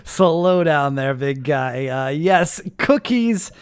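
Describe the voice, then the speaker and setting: A man hosting a gaming video with casual, playful roleplay commentary and animated reactions. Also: a little high, slow, clean tone.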